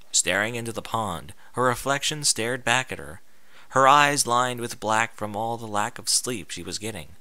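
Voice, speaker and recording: Animated, bright-voiced man reading stories. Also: medium pitch, dry recording. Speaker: amateur fan fiction reader. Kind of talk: dramatic storybook narration with comic edge.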